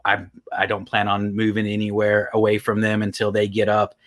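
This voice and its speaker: A man's voice: on the low side, completely flat delivery, normal speed, fairly bright tone. Rambling man with a mixed accent. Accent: mixed Texan, Irish and British. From United States. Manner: rambling, many restarts. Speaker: online marketing guest. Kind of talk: casual interview talk.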